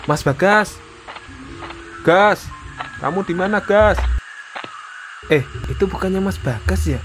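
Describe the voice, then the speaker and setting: Man voicing a young Indonesian hiker, music behind. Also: high-pitched. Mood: alarmed. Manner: casual, colloquial. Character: young Indonesian male hiker, concerned and practical friend. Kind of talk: animated horror story dialogue.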